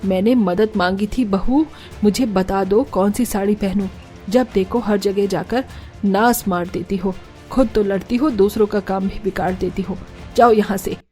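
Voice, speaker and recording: A woman voicing a scolding older mother-in-law in a cartoon story, shouting and chiding her daughters-in-law. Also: medium pitch, normal speed, soft background music, natural voice. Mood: angry. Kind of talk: cartoon voice acting.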